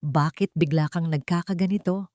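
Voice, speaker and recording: A woman's voice: low-pitched, normal speed, warm tone, no room sound, clean studio sound, natural voice. A woman voicing a poor young mother in a radio drama, questioning and emotional, suspicious and defiant. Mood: worried. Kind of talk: Filipino radio drama acting.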